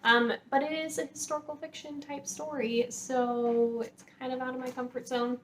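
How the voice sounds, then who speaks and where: Woman, higher-pitched voice, casual vlogger chat, gushing, enthusiastic, frequent "like," audible room noise behind her. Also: level tone, normal speed, a bit bright, low-quality recording. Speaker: book vlogger. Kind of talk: casual chatty book talk to viewers. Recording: clear background noise, room ambience.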